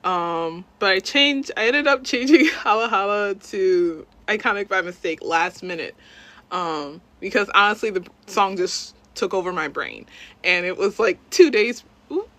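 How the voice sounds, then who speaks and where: A woman's voice: medium pitch, expressive, a little slow, bright, forceful tone. A young woman, a self-taught cover singer and dancer, chatting casually on a livestream in a rambling, self-deprecating way.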